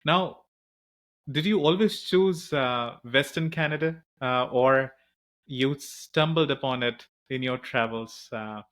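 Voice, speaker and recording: A man's voice: mid-range voice, takes it slowly, a bit bright. A man hosting a podcast interview, asking questions and giving short attentive backchannels. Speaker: podcast host.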